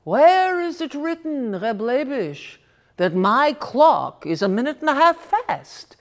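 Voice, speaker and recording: A woman's voice: sits high, sing-song, slow, pitched above the performer's own voice, exaggerated voice. A woman voicing a flustered, proud, defensive father in a story, arguing back with rhetorical questions. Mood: defensive, retorting. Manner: argumentative, rhetorical.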